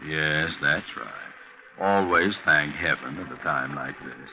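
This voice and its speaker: Deep, sinister, mocking radio narrator. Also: male voice, slight background noise, full character voice. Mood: mocking, urging. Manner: taunting, deliberate, addresses the character directly. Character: sinister, mocking unseen narrator goading his victim onward. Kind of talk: 1940s radio mystery narration. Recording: muffled 1940s radio recording, loud music behind.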